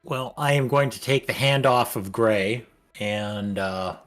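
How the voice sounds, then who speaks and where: Slow-talking man playing a tabletop game. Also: medium pitch, fairly bright tone. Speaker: player. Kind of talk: casual tabletop play.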